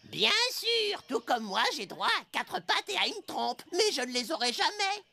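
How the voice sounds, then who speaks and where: A woman's voice: high-pitched, big pitch swings, moderate pace, creaky, bright, forceful tone, faint music behind, heavily acted. A woman voicing a small, cheeky, bossy flying jungle creature in a cartoon dub, teasing and dramatic.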